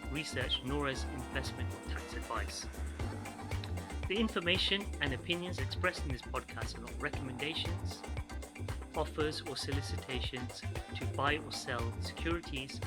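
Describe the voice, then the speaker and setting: A man's voice: mid-range voice, loud music behind the voice. A man hosting a podcast, reading a scripted intro and disclaimer, then asking questions with fillers and restarts. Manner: reads a compliance disclaimer at a brisk clip, hesitant with fillers when unscripted. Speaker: podcast host.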